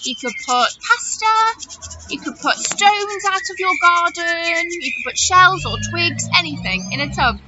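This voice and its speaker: A woman talking chattily to young children as their teacher, animated and full of questions and wonder. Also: extremely high pitch, unhurried, over loud music. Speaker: children's teacher hosting story follow-up activities. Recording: dull audio with loud noise and clicks.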